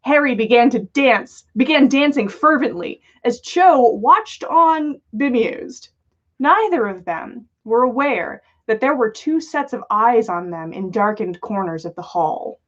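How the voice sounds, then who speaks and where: A woman's voice: on the high side, lively intonation, measured pace, muffled recording. A woman reading a story aloud for a livestream in an amused, dramatic manner, with small slips. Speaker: podcast host reading listener fan fiction.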